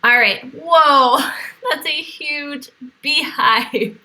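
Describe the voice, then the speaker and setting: A woman reading aloud as a teacher to young children, with animated exclamations, questions and explanatory asides. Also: sits high, drawn-out words. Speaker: teacher reading to a virtual class.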